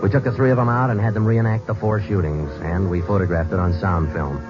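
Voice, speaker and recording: A man's voice: fairly low pitch, steady tone, brisk. A man narrating as a police detective in a 1940s radio drama, clipped and matter-of-fact. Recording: dull old radio broadcast with music.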